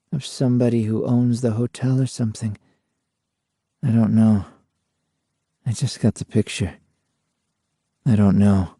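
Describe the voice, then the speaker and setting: A nervous man in a trance mumbles in a lowered, unsure voice, stopping and starting, as a narrator plays him. The sound is mellow and dry. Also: no echo.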